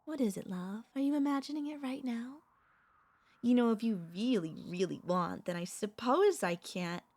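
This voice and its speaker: A woman playing a possessive, doting royal vampire mistress in an intimate ASMR audio roleplay, speaking in a tender, teasing, halting way with a creaky voice. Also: on the high side, animated, a little mellow. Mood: affectionate, scolding. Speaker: voice actor and vtuber.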